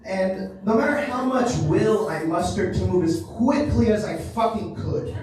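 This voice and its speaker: A man's voice: sits high, expressive, measured pace, darkish tone, a little hiss or hum. A man doing a stand-up routine in a small theater, telling a long story in a loose, rambling way. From Cuban family. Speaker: stand-up comedian. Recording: echoey room from far off.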